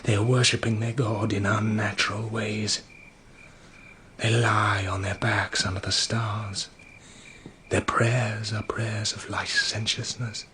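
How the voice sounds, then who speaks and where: A man's voice: lowish voice, flat delivery, slow, pushed and bright, slight background noise. An actor playing a stern, suspicious, authoritarian king in an audio drama, speaking in a clipped, commanding way. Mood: scornful resolve.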